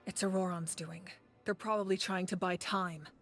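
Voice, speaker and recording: A woman playing a level-headed, watchful adventurer in a video game, speaking in a measured, explanatory way. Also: lowish voice, steady tone, close to an everyday voice. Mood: calm, alert. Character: level-headed, watchful female adventurer giving tactical advice. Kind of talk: video game dialogue acting.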